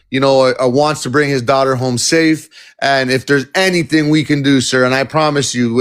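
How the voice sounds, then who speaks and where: A man's voice: mid-range voice, smooth. A man hosting a live stream, interviewing a caller in a casual, rambling way with many fillers and restarts.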